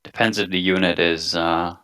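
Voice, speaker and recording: A man playing a tabletop roleplaying game, grumbling in character and discussing the game casually in a halting way. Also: low-pitched, steady tone, pushed and bright, smooth.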